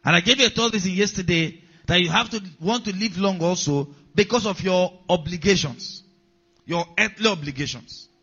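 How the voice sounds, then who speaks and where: A man's voice: high-pitched, normal speed, pushed and bright, breathy edge. A man preaching a sermon, repeating key lines and checking in with the congregation. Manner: preaching with repetition and call-outs to the congregation. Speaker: pastor. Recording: dull lo-fi sound.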